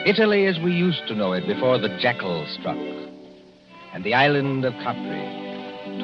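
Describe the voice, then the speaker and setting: A man narrating in dramatic, measured 1940s radio style as host of a drama program. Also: medium pitch. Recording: muffled 1940s radio recording. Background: music.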